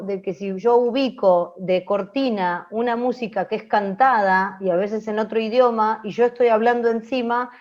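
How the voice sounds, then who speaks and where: A woman's voice: mid-range voice, darkish tone. A woman with an Argentine accent leading a conversational class, reflective and adding little tag questions, in a dull recording. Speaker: radio and podcast workshop instructor. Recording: dull lo-fi sound.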